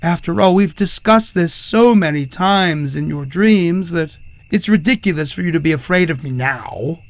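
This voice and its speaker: A sinister, courtly spirit from a horror audio drama, acted by a man, speaks in formal, archaic phrases with theatrical menace. The voice is rather high and gravelly, and it gloats. The sound is dry and somewhat muffled. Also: moderate pace, dry recording, close to an everyday voice. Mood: cold, commanding.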